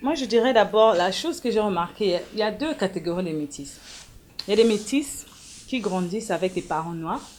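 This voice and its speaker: A woman's voice: medium pitch, lively intonation, fairly quick, a little mellow, slight background noise. A woman who grew up in Congo, speaking as a guest in a casual discussion, direct and emphatic, repeating her main points.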